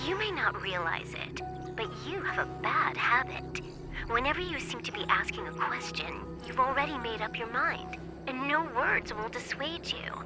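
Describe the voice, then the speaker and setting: A young hacker woman with a higher, swooping voice. She is teasing and nonchalant, like a game character who is never fazed. Music plays behind her. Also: lively intonation, normal speed. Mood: wry. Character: playful teasing young female hacker, casual and wry. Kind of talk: video game voice acting. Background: background music.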